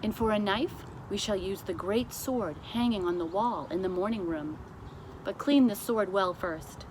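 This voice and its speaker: A woman's voice: medium pitch, normal speed, natural voice. A woman voicing a composed, commanding male royal butler in a children's storybook, giving formal, precise orders. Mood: commanding, decisive. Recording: clearly noisy amateur recording.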